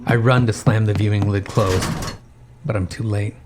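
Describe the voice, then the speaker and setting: A man narrates a horror story in the first person. His voice is low and stays very even, with a faint noise behind it. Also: normal speed.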